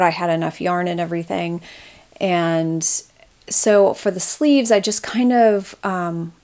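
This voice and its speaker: A woman's voice: fairly low pitch, darkish tone. A woman talking casually about her knitting project, chatty and rambling with frequent restarts. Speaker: knitting vlogger.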